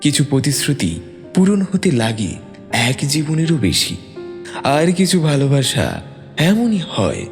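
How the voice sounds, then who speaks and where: Expressive male storyteller in long reverb. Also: fairly high pitch, unhurried, pauses often. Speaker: storyteller, narrator. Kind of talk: dramatic scripted story narration. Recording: long reverb, music bed underneath.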